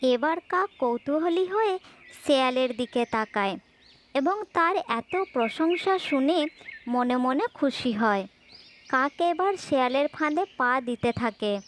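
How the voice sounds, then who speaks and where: A woman with a distinctly high voice narrates a children's fable in a scripted storytelling style. There is faint noise in the recording. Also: animated, slow pace.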